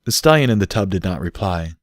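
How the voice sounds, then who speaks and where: A man's voice: sits low, sing-song, quick pace, no room sound, clean studio sound. A man reading a story aloud as scripted audiobook narration. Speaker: audiobook reader.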